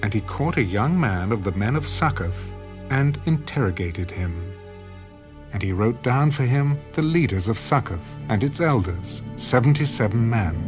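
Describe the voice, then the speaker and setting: A man giving solemn, measured narration of scripture. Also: medium pitch, lively intonation. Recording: loud background music.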